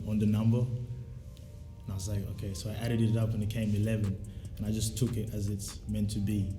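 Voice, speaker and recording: A young man talks through his thoughts in a casual interview. His voice is very mellow and soft-edged, and his delivery is slangy, reflective and easygoing. The sound is roomy, with music quietly playing behind him. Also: lowish voice. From South Sudan, raised in Australia. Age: young adult. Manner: laid-back, slangy, reflective. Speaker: musician, rapper and producer being interviewed.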